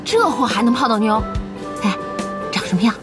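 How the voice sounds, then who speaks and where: A woman's voice: fairly high pitch, big pitch swings, normal speed, music in the background. A woman playing a bossy, nosy but caring young boss in an audio drama. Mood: scolding, curious.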